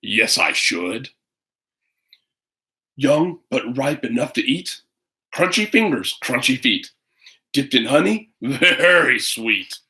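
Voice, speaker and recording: A man voicing a fierce, hungry, boastful bear in a storybook, speaking slowly and menacingly. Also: mid-range voice, very animated, pushed and bright, exaggerated voice. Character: fierce hungry bear, boastful, drooling over a little girl.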